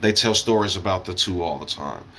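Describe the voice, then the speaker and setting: A man's voice: deep voice, fairly quick, fairly bright tone, a little hiss or hum. An American man giving a casual, rambling livestream monologue in a blunt, opinionated way, with swearing and meandering asides. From United States. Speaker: live streamer.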